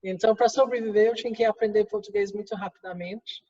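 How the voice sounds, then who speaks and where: A woman's voice: mid-range voice, steady tone, fast, warm tone. A middle-aged woman from England with an English accent, speaking a second language in an interview and answering with many restarts and fillers. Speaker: guest executive. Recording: distant, roomy, dull sound.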